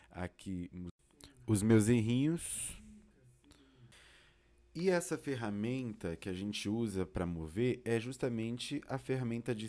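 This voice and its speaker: A man with a Brazilian accent giving an informal software tutorial, explaining casually as an instructor. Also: fairly low pitch. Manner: casual, explanatory, uses diminutives.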